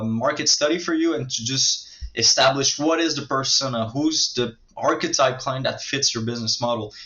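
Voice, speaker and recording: French Canadian man, mid pitch, halting sales pitch over dull lo-fi audio. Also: a bit bright. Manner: hesitant, many fillers and restarts. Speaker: marketing agency owner pitching services.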